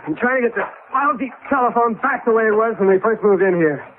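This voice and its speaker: An actor playing a desperate, insistent husband trapped in the past, in 1970s radio drama style on a thin old broadcast recording. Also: male voice, high-pitched, fast, phone-quality sound, background noise, close to an everyday voice. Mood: angry, frantic. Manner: urgent, insistent, emphatic.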